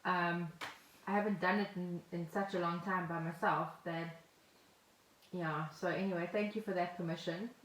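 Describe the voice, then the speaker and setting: A woman's voice: fairly low pitch, fairly even intonation, moderate pace, a bit bright. A woman co-hosting a podcast in an informal, playful, chatty style. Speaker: podcast co-host. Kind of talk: casual conversational chat. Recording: echoey room, faint noise.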